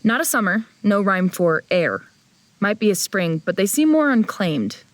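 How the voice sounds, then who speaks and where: A woman's voice: mid-range voice, very animated, unhurried, dry recording. A woman playing a wary, grumpy young traveler who narrates an audio drama in a blunt, wry way. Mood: wary, descriptive. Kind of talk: first-person audio drama narration and dialogue. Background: music.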